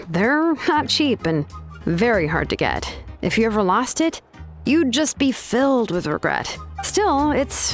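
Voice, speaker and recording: A woman's voice: medium pitch, lightly acted. A woman playing a laid-back, frank young woman in a video game, speaking in a casual, offhand way. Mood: frank, reassuring, then puzzled. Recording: music under the voice.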